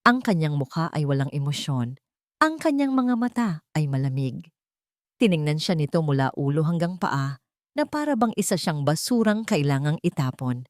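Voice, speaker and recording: A woman's voice: low-pitched, sing-song, normal speed, a little mellow, dry recording, studio quality. A woman reading a scripted romance story as narrator, with dramatic storytelling delivery.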